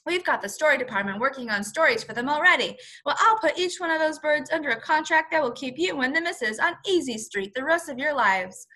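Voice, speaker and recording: A woman's voice: high voice, expressive, brisk, few pauses, bright, forceful tone, voice pushed up. A woman voicing a brash, wheedling male movie mogul in a storybook, emphatic and salesman-like. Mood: boastful.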